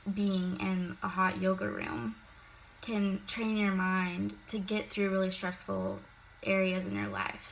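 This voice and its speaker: Young woman, student speech, slightly sick voice, gravelly, faint background hiss. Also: mid-range voice, fairly bright tone, low-quality recording. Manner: recited, with occasional casual fillers. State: sick, voice a little off. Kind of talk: prepared informative speech.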